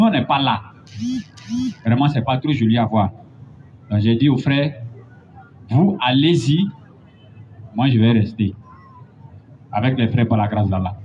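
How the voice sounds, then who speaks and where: A man preaching a sermon, building to emphatic, drawn-out points. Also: medium pitch, darkish tone. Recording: dull lo-fi recording with faint noise.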